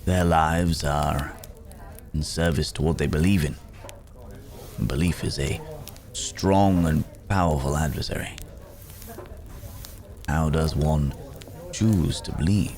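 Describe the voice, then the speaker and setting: A man playing a weary, courteous werewolf traveler in an intimate fantasy ASMR roleplay, speaking in a halting, musing way with soft hums. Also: rumbling low, exaggerated voice. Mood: brooding, philosophical.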